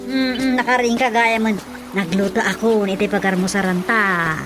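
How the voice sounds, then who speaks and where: A woman's voice: medium pitch, fairly even intonation, normal speed, music in the background, natural voice. An actress playing a busy, coaxing mother with an Ilocano accent in a radio drama. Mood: gently coaxing.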